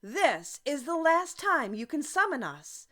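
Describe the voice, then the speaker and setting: A woman's voice: sits high, big pitch swings, unhurried, fairly bright tone, voice pushed up, full character voice. A woman voicing a courteous, obedient king of winged monkeys, speaking formally in a storybook. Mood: courteous farewell.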